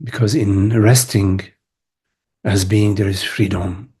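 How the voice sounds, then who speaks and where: A man leading a guided meditation as a teacher, speaking gently in short phrases with long pauses. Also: on the low side, fairly even intonation, breathy edge. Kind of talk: guided meditation, spiritual talk.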